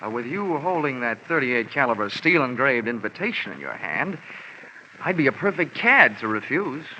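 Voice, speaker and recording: An actor playing a wry, wisecracking American investigator, narrating an old radio noir drama. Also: male voice, mid-range voice, very animated, normal speed, background noise, natural voice. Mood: dry, guarded. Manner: sardonic, wry, cool. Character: wisecracking, hard-boiled male insurance investigator. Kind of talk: first-person 1940s radio noir narration. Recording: muffled old radio recording.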